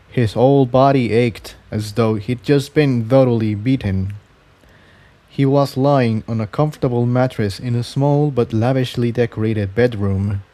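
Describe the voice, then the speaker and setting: A man narrates a fantasy story from a script. His pace is slow and unhurried. The tone is dark and relaxed, on the lower-middle side of ordinary storytelling. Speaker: fan fiction reader.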